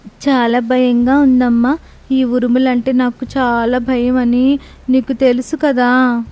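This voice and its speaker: A plaintive young schoolchild in a storybook drama, voiced by a woman. The voice is anxious and pitched a little high. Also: flat delivery, slow pace, a little mellow.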